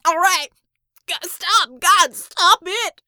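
A woman voicing a wounded, cowardly male official in an audiobook, gasping, shouting and pleading in pain. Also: extremely high pitch, slow pace, no echo, clean studio sound, pitched above the performer's own voice, full character voice. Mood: screaming agony. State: in pain, out of breath. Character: wounded, panicked, cowardly American city councilman, male.

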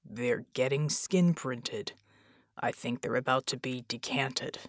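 A woman voicing a calm, steady man with a rumbling voice and a deliberate delivery in an audiobook story. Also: dry recording, clean studio sound. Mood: calm urgency.

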